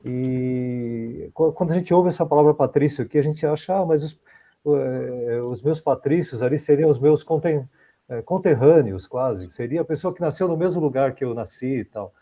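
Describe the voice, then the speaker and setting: A man's voice: mid-range voice, moderate pace, mellow. A man in a study group on a video call, explaining a word while thinking aloud with many restarts.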